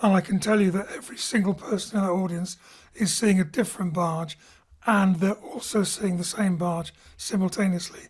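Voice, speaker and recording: A woman's voice: mid-range voice, fairly even intonation, normal speed, darkish tone, breathy edge. A woman hosting a casual discussion about theatre and audio drama.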